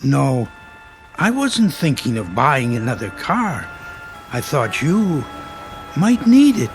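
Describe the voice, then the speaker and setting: Slow-spoken, gentle old man. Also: mid-range voice, big pitch swings, soft background music. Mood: wistful. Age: older. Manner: mild, unhurried, courteous. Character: gentle, polite old man, earnest and sentimental. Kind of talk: radio drama acting.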